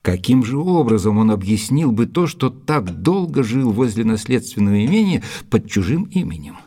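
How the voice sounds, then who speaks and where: An actor playing a courteous, inquisitive detective's companion in an audio drama, questioning politely and earnestly. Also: male voice, medium pitch, sing-song, normal speed, warm tone, husky. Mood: puzzled, probing. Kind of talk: audio drama acting, dialogue.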